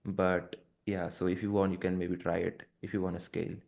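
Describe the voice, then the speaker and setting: A man with a very deep voice on a casual business call, offering advice to a fellow agency owner. He sounds encouraging and leans on "like" as a filler while he thinks. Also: flat delivery, a little fast, gravelly, darkish tone.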